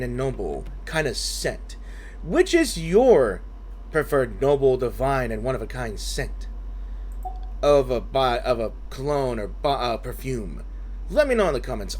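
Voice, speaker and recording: A man giving casual gaming commentary, musing to viewers in a halting, conversational way. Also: mid-range voice, slight background noise. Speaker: gaming streamer.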